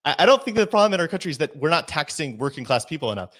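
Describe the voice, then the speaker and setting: A man's voice: a little high, big pitch swings, rapid-fire, a bit bright. A man of twenty-one, a guest in an interview, making his case earnestly and laying out examples point by point. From United States. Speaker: interview guest, party committee member. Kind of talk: conversational political argument.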